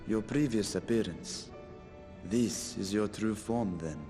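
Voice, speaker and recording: A man's voice: on the low side, slow pace. A man playing a grave, wary adventurer in a video game cutscene, serious and probing. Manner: serious, questioning. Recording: music behind.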